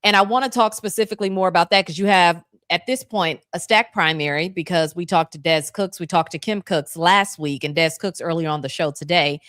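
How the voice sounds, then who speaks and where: Low-voiced attentive woman host. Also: fairly bright tone, no echo, studio quality. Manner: attentive, affirming, quick asides. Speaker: show host. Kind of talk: interviewing a guest.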